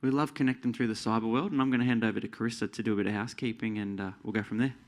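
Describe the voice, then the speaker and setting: A man's voice: fairly low pitch, steady tone, rapid-fire. Upbeat Australian man hosting a panel. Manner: soft reverent acknowledgement then upbeat enthusiastic hosting. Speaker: host. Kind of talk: live panel discussion hosting.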